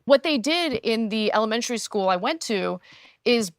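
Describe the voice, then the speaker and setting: A woman from California whose first language was Armenian, telling a personal story in an earnest, steady conversational way. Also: on the high side, moderate pace, no echo. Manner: earnest, steady storyteller with occasional light laughs. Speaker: guest telling her own story.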